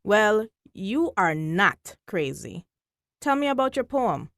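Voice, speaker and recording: A woman playing a patient, reassuring child therapist in a staged drama, measured and gently probing. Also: medium pitch, very animated, no echo, heavily acted.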